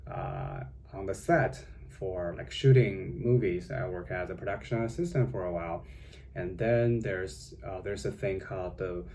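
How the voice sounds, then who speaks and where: A young Chinese man speaks English, his second language, in a low, gravelly voice with a clear Chinese accent. He talks slowly and loosely, full of "uh" and "like" and restarts. A faint hiss sits under the home recording. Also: warm tone. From China. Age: young adult. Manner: rambling, many fillers and self-corrections. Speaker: vlogger. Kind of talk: casual vlog chat. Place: home with cats.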